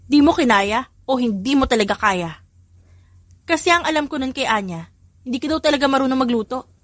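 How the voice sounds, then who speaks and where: A woman voicing an irritable but soft-hearted young mother in a romance audio drama, nagging and exasperated. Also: high-pitched, lively intonation, a little fast, no echo.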